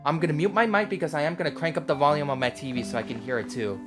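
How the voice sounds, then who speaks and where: A woman's voice: low voice, very fast. A woman livestreaming a video game with casual, reactive commentary. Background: game music.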